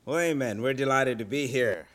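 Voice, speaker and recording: A missionary man from Zambia, talking to a church with many pauses and uh fillers. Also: medium pitch, a bit bright, no room sound. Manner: halting, with frequent uh fillers. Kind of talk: church greeting and testimony.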